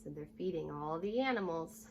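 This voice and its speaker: A young American woman reading a children's story aloud like a teacher, addressing young listeners directly in a lively way. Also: sits low, moderate pace, slight background noise, poor audio. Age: young adult. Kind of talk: children's read-aloud with short asides. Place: living room at home, indoors.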